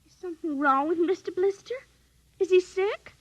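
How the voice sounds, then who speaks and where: An actress playing a stubborn, plaintive nine-year-old girl with an innocent yet eerie air, in 1950s radio drama style. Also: extremely high pitch. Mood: tearful disbelief. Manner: plaintive, slow, insistent.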